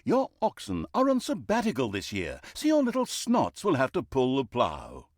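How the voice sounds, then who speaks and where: A man's voice: medium pitch, wildly swooping pitch, normal speed, dry recording, clean studio sound. A man playing a grandiose, tongue-in-cheek narrator in a fantasy video game, with mock-solemn, theatrical delivery. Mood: mock-ceremonial greeting.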